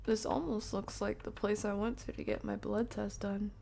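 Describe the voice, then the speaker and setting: A woman streaming a game, chatting playfully with viewers and often dropping into hushed whispered asides. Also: mid-range voice, flat delivery, normal speed, creaky, slight background noise. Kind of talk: casual live-stream chat while playing.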